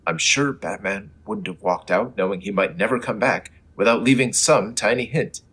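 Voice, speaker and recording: A man playing an earnest, matter-of-fact superhero disguised as a mild-mannered reporter in an old-time radio comedy, with a husky voice. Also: lowish voice, moderate pace, a bit bright. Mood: earnest, concerned. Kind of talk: old-time radio serial parody acting.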